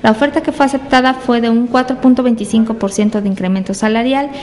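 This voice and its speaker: High-voiced Mexican woman reporter. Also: steady tone, fast, a little mellow. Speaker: news reporter. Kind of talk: scripted news narration.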